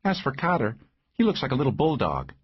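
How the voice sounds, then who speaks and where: Male narrator as a wry, observant female investigator. Audiobook, raised pitch, lively intonation. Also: fairly quick, slightly rough, highs cut off. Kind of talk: audiobook character voice.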